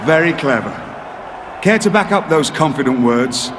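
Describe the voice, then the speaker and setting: A man acting a sneering British wrestling villain in a video game. His fairly high voice is pompous and full of contempt, with clear noise behind it. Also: lively intonation, moderate pace, lightly acted. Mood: mocking, menacing.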